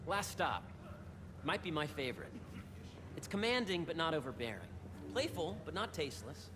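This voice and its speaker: An upbeat rich kid showing off, bouncy voice, echoey big space with hum. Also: male voice, fairly high pitch, very animated, normal speed. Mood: playful. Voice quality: chatty, playful. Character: young male tech heir, upbeat and playful host of a tour. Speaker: tour guide to a friend. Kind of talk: video game cutscene acting. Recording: reverberant hall with ambient noise.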